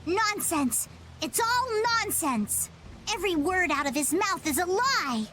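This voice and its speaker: An excitable young adventurer in a game. The voice is very high and piercing, leaping around with indignant emphasis over faint noise. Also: female voice, unhurried, exaggerated voice. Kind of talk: video game cutscene acting.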